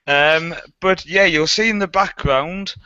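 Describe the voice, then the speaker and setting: A man hosting a podcast with a bright, forceful, slightly high voice, chatty and joking as he talks. The sound is dry and close, with a dull lo-fi online-call quality. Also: unhurried, clean tone, dry recording. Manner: jokey, laughs often, chatty. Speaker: podcast host. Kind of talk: casual chat.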